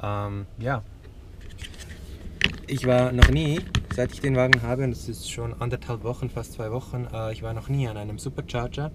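A man's voice: mid-range voice, moderate pace. Young man vlogging from his car. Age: young adult. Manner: relaxed, many filler sounds, thinking aloud. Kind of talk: casual vlog talk to camera. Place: inside a car, parked in snow. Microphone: camera mounted on the dashboard. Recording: noisy car interior.

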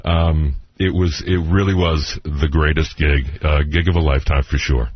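A man's voice: rumbling low, steady tone, moderate pace, slightly rough, dry recording. A man chatting casually on air as a radio show's producer. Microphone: close studio microphone. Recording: dull lo-fi radio broadcast.

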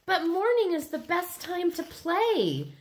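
A woman's voice: very high voice, wildly swooping pitch, takes it slowly, a little mellow, voice pushed up, full character voice. A woman voicing a playful, bold five-year-old girl in a children's storybook. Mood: bold, proud.

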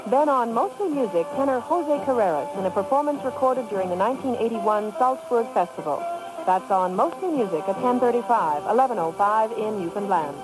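A woman's voice: fairly high pitch, lively intonation, fairly quick, rough recording. A woman reading a scripted programme promo as a radio continuity announcer. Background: music.

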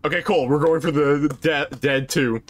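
A woman's voice: deep voice, fairly even intonation, normal speed, slight background noise. A woman giving casual, reactive let's play commentary with friends, swearing freely. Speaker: video game streamer.

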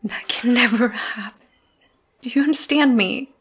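A woman playing a young, tormented, lovesick vampire in an ASMR roleplay, breathy and often whispering, halting and intense. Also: a little high, fairly bright tone. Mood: anguished, intense. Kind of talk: ASMR roleplay, dramatic monologue.

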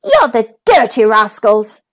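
A big bad storybook egg who thinks he is king, voiced by a woman reader. He is bossy and boastful, taunting in a high, animated voice. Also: slow, exaggerated voice.

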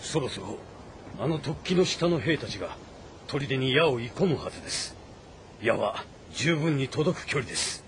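A man's voice: medium pitch, expressive, moderate pace, a bit bright, slight background noise, close to an everyday voice. An actor playing a keen male military strategist who commands an army, in dramatic audio drama style. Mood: measured, calculating.

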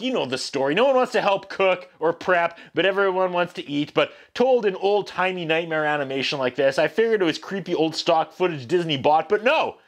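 Male vlog host, 40s, high voice, sarcastic and exasperated comedic review delivery, animated. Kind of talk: comedic scripted-style review rant to camera. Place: small indoor room.

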